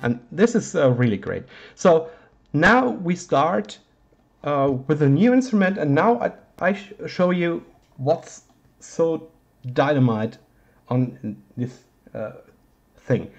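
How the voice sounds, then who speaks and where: A man with a German accent, a native German speaker, giving an informal hands-on synthesizer tutorial, haltingly searching for words in non-native English. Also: a little high, big pitch swings, vocal fry, muffled recording, faint music behind.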